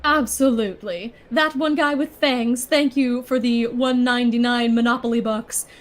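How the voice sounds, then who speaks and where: A woman's voice: on the high side, fairly even intonation, normal speed, close to an everyday voice. A woman voicing a playful female bat from a video game, chatting casually as a livestream co-host. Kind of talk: in-character improvised livestream banter. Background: background music.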